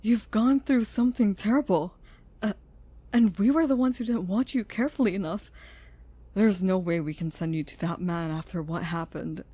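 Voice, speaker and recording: A woman playing a caring, protective scientist in an audio roleplay, speaking gently and earnestly in a slightly husky voice. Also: mid-range voice, normal speed, natural voice. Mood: protective, upset. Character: caring female scientist, protective creator of a sentient robot.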